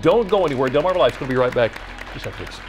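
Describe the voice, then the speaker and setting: Man, tv co-host, quick animated delivery, jokey, studio set. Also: medium pitch, music in the background, crowd noise. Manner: jokey, energetic banter. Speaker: TV show co-host. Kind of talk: morning show hosting.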